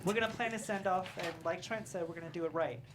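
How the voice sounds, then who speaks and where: A woman's voice: fairly low pitch, quick pace, very noisy, natural voice. A woman in a comedy table read playing a caring, encouraging insect who takes charge. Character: caring, take-charge insect gang leader, encouraging and gentle.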